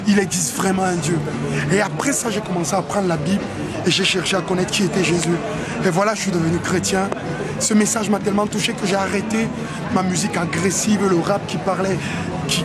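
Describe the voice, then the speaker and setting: A young man from Cameroon, a pastor and rapper, telling his story in an interview, earnest and halting with repeated words. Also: high voice, fairly even intonation. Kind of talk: interview testimony. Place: outdoors in a city. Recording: loud music under the voice.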